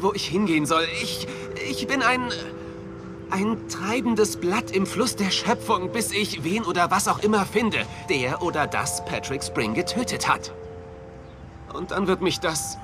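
High-pitched overconfident detective, animated, dramatic pauses, TV comedy, music behind. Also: male voice. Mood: earnest, troubled. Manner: theatrical, rambling, dramatic pauses. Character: eccentric, excitable, overconfident male holistic detective. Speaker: actor in a dubbed detective comedy series. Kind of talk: German-dubbed TV comedy acting.

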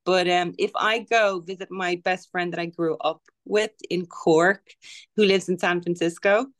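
A woman's voice: fairly low pitch, fairly even intonation, measured pace. A woman with a Dublin Irish accent, chatting casually as a guest author and telling stories with easy laughter. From Ireland. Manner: chatty, laughs easily, storytelling. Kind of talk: casual podcast chat.

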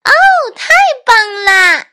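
A woman voicing a bold, excitable little girl in a storybook, exclaiming and wheedling. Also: extremely high pitch, a little slow, voice pushed up, heavily acted. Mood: thrilled.

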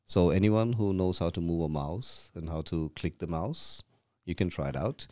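A man's voice: deep voice, animated, normal speed, dark tone, no room sound, clean studio sound. A man from Sri Lanka, a podcast guest explaining things casually in a rented room and often restarting his words.

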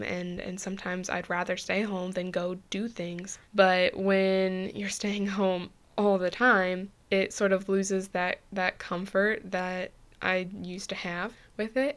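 A woman's voice: mid-range voice, gravelly, a bit bright, clean tone. A young woman hosting a podcast, talking casually, chatty and laughing easily, often restarting her phrases. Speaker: podcast host.

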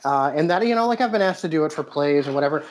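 A man's voice: on the high side, lively intonation, rapid-fire. A man from the United States, a podcast host and playwright, telling stories animatedly with many "you know" fillers. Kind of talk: casual interview talk.

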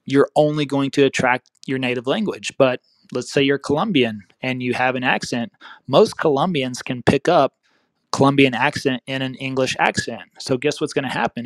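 Male podcast host, casual advice, rambling and self-correcting, mid pitch, dry close sound. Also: dry recording. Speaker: real estate podcast host.

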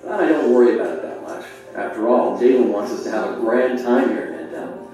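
A man's voice: mid-range voice, lively intonation, fairly quick, mellow, faint music behind, lightly acted. An actor playing a cocky, carefree young male graduate in a theatrical audio drama, with breezy, formal phrasing. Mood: smug, breezy. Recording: drama playback in echoey room, distant.

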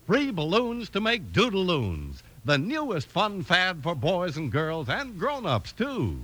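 A male American announcer in vintage 1950s radio style. His slightly high voice swings widely with salesmanlike emphasis, and the sound is dry. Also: huge pitch swings, slow pace, a bit bright, no echo. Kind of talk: 1950s radio announcing, scripted pitch and narration.

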